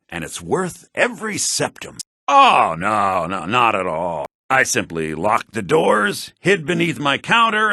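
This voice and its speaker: Raspy, smug townsman in a fantasy game. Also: medium pitch, pushed and bright, no room sound, clean studio sound, full character voice. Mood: sly, then sardonic. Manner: sardonic, self-important, theatrical delivery. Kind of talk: scripted fantasy video game dialogue.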